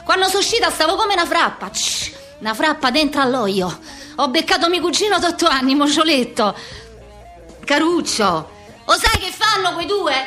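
A woman's voice: very high-pitched, pushed and bright, full character voice. An actress playing a brash, foul-mouthed young working-class woman with a Roman accent, in a combative, slangy theatrical monologue. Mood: indignant storytelling. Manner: slangy, combative, rambling street talk. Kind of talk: theatrical comic monologue for radio.

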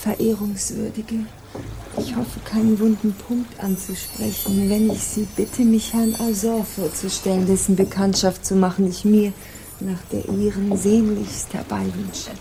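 A woman playing a courtly, flattering princess in a radio drama, speaking in ornate, ceremonious and effusively polite phrases. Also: medium pitch, measured pace, creaky, background noise. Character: courtly, flattering princess visiting a pirate ship. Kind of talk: German radio drama acting.

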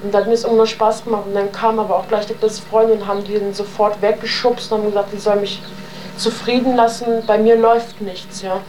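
Young female interviewee, mid-pitched, rambling and colloquial, faintly noisy tape recording. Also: flat delivery, fast talker, a little mellow. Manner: rambling, with slangy wording. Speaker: interviewee recounting her own life. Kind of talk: spontaneous, colloquial oral testimony.

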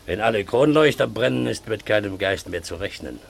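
Gravelly, pompous old schemer. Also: male voice, on the low side, lively intonation, brisk. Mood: courtly, bossy. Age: older. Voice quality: gravelly, husky. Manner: pompous, theatrical, commanding. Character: sly, pompous old male village know-all and ghost-talker, brandy-loving. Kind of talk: radio play acting.

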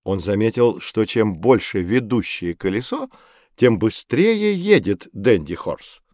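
A man playing a patient, knowledgeable teacher who explains with expressive storytelling in a children's educational audio drama. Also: fairly low pitch, unhurried, no echo, studio quality. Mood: patient, explaining.

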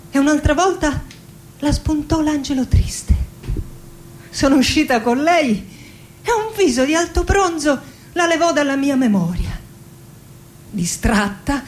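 A high-pitched middle-aged woman poet in a radio drama, reciting slowly and lyrically. She is melancholy yet wry and passionate, leaving dramatic pauses, over a faint rumbling noise in the recording. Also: darkish tone. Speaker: actress performing a dramatic monologue. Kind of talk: theatrical radio monologue, poetic recitation.

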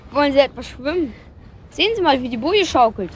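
A woman's voice: high voice, animated, a little fast, slight background noise. A woman in a German radio drama playing a helpful, casual, slightly cheeky youngster who talks matter-of-factly. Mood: casual, amused. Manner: casual, matter-of-fact, colloquial.

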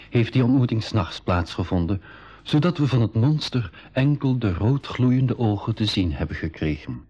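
An actor narrating an adventurer's tale to young listeners in a measured, scripted way on an old radio recording. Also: male voice, fairly low pitch, darkish tone, slightly rough. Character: adventurer recounting his expedition to young listeners. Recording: dull, muffled 1980s radio recording.

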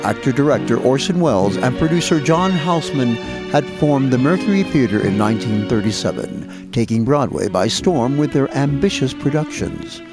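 A man's voice: medium pitch, sing-song, normal speed, few pauses. A man reading a scripted introduction as host of an old time radio show. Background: loud music under the voice.

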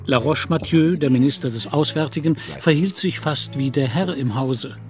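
A man's voice: fairly high pitch, moderate pace, a little mellow, soft background music, natural voice. A man narrating a literary audio drama in German as a scripted storyteller.

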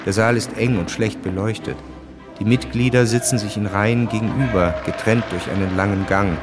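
A man reading scripted radio drama narration in a measured, matter-of-fact way. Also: fairly low pitch, steady tone, over music.

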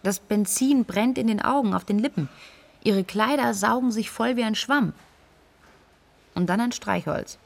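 A woman's voice: a little high, measured pace, gravelly. An actress playing a composed, clinically precise medical woman, recounting events interview-style in a radio drama. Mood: grimly detached.

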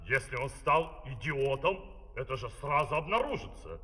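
An actor playing a pompous, scheming dictator in theatrical declamation for a comic fairy-tale opera on an old record. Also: male voice, medium pitch, sing-song, moderate pace, pushed and bright. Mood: brisk, commanding. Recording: old vinyl record, long hall reverb, music.

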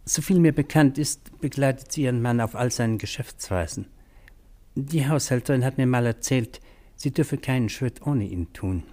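A man's voice: medium pitch, moderate pace, warm tone, lightly acted. Radio crime play: a man as a worldly, talkative doctor, middle register, dry wit. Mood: chatty, wry. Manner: talkative, wry, slightly gossipy. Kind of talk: German radio crime drama acting.

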